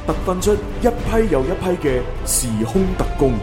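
A man's voice: high voice, animated, normal speed. Guy doing grave radio drama narrator for a future world, echoey, music behind. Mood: grave, dramatic. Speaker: opening narrator. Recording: echoey voice over loud music.

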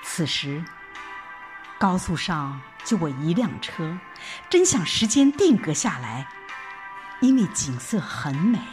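Female audio drama narrator, lowish pitch, very varied intonation, telling a first-person story with faint music behind. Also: sing-song, slow pace, pauses often.

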